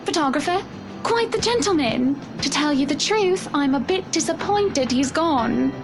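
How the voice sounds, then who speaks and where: A genteel, playful married lady in a visual novel game. Her voice is high and her phrasing is arch and formal. Music plays behind her. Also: expressive, moderate pace. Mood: playful, teasing. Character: playful, teasing, genteel married woman named Hannah.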